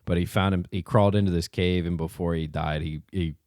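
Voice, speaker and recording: A man's voice: low-pitched, steady tone, fairly quick, darkish tone, clear, resonant tone, dry recording, clean studio sound. A man co-hosting a podcast, chatting casually in a teasing, rambling way. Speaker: podcast co-host. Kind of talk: casual chat.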